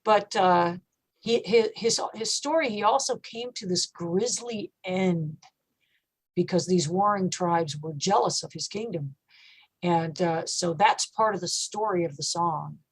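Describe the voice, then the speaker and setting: Woman, metal vocalist, low voice, relaxed interview talk, lots of "you know" and restarts. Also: a little slow, breathy edge, poor audio. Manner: relaxed, thoughtful, with frequent fillers and restarts. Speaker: metal singer and guest. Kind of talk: casual interview chat.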